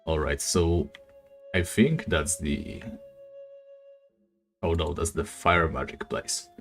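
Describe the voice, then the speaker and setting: Deep raspy male game streamer. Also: takes it slowly, soft background music. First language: not English. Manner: relaxed, rambling, many fillers. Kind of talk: casual live-stream chat while gaming.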